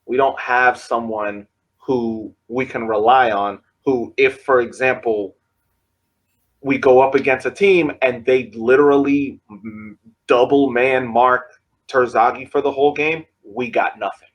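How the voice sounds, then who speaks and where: A man co-hosting a casual sports podcast, talking in halting bursts, restarting and laughing between points. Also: medium pitch, steady tone, low-quality recording. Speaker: podcast co-host.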